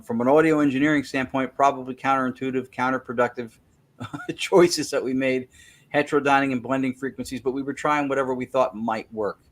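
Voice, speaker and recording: A man as an interview guest, explaining a technical method in detail and repeating words as he thinks. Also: mid-range voice. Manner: self-correcting, repeats words while thinking. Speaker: guest, spirit-communication researcher.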